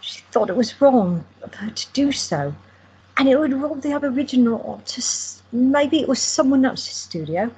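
A woman's voice: high-pitched, expressive, moderate pace, darkish tone, slight background noise, poor audio. A woman playing a teasing, affectionate young woman in an audio drama. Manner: playful, teasing.

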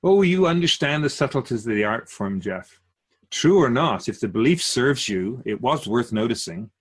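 Man playing a lively, husky wise spirit guide. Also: mid-range voice. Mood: gently amused. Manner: measured, musing, rhetorical questions, formal wording. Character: wise otherworldly spirit Keeper, patient, gently teasing, solemn teacher. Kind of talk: radio drama dialogue.

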